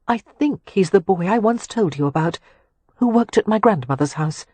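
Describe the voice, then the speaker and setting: An earnest young gentlewoman, gentle and pleading with a tart edge, as a woman narrator gives her voice. Her voice is lifted a few steps above the narration and stays breathy. The reading is close and dry. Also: medium pitch, lively intonation, normal speed, no echo, voice pushed up.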